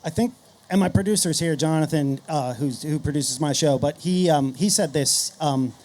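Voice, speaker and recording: A man's voice: a little high, moderate pace, a little mellow. A middle-aged man speaking informally and anecdotally as a panelist in a casual conference discussion. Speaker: panelist, podcast host.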